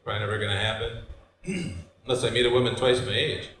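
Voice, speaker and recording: A man in his forties from Michigan, with a Michigan accent, doing a deadpan stand-up set in a brewery with loose asides to the crowd. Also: mid-range voice, fast, slight background noise. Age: 40s. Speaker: stand-up comedian. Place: brewery stage before a live audience. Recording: roomy live venue sound.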